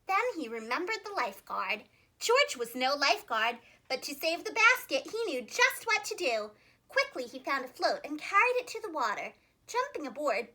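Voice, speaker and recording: A woman reading a children's storybook aloud in an expressive, carefully enunciated preschool-teacher style. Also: high-pitched.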